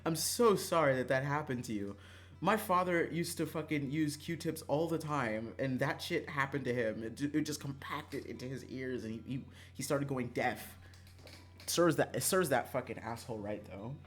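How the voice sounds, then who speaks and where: A man streaming a visual novel, chatting casually with viewers in an animated, sweary, emphatic way over background music. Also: mid-range voice, moderate pace.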